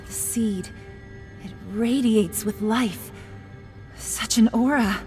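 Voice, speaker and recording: Grave game heroine: mellow, creaky, slow and mystical, soft music behind. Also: female voice, on the high side, steady tone. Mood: awed. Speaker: voice actor. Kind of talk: dramatic video game cutscene acting.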